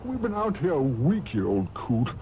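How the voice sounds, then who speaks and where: An actor playing a spoiled, peevish, snobbish rich heir in a comic radio soap parody. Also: male voice, fairly high pitch, wildly swooping pitch, normal speed. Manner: haughty, peevish. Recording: dull taped radio with hiss.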